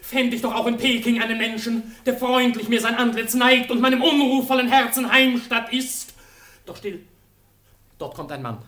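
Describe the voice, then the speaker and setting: An actor playing an ardent, melancholy young prince in a classic German radio drama, lyrical and declamatory. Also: male voice, very high-pitched, moderate pace, bright, forceful tone, heavily acted. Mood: rapturous yearning. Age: young adult.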